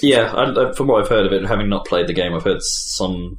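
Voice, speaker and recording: A man's voice: fairly low pitch, brisk. A man hosting a podcast, chatting casually about video games in a conversational, hedging way. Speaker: podcast host. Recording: roomy echo, clear background noise.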